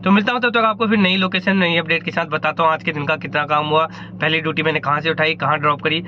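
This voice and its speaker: Chatty fast man, lo-fi. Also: on the high side, fairly even intonation, a little hiss or hum. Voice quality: speaks of a sore throat. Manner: chatty, rambling with self-corrections. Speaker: ride-hailing driver vlogger. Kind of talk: casual vlog narration. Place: car and fuel station in a city.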